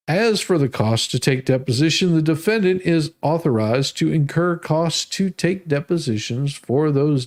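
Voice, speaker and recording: An American man reading documents aloud as a host, with casual, sarcastic asides and a folksy manner. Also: medium pitch.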